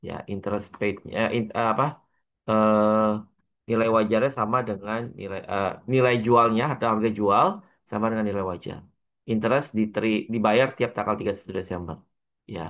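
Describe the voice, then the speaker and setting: A man with an Indonesian accent lecturing as a teacher, working through an example step by step in a halting, repetitive way with frequent checking tags. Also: on the low side, a bit bright. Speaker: accounting lecturer.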